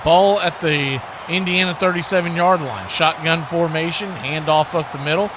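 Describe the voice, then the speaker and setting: Male American play-by-play commentator, high voice, folksy and conversational, football livestream with clear background noise. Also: moderate pace.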